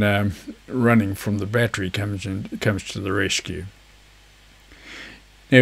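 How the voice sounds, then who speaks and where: An older man around his seventies, giving an informal, measured instructional talk to camera as a presenter. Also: lowish voice. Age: older, around 70s. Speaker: amateur radio operator, video presenter. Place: indoors, seated before a virtual beach backdrop.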